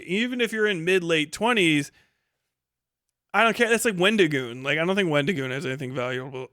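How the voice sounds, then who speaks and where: Guy streamer riffing w chat, kinda higher voice, blunt n sweary, super dry sound. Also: a bit bright, no echo. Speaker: live streamer. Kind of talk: casual livestream commentary, reading chat.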